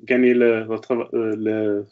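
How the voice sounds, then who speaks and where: A man from Saskatchewan, a podcast guest whose first language is English, speaking French with an English-speaking Canadian accent, halting and searching for words, slipping into English. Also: lowish voice, level tone. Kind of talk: casual chat. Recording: dull lo-fi audio.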